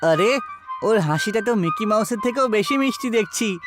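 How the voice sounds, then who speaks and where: A woman's voice: mid-range voice, lively intonation, moderate pace, close to an everyday voice. Woman as friendly, curious village child, music behind. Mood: delighted.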